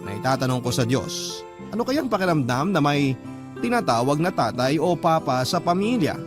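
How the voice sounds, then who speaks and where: A man's voice: on the high side, big pitch swings, normal speed. A man narrating a dramatic first-person story as the reader of a letter. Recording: music bed under the voice. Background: guitar music.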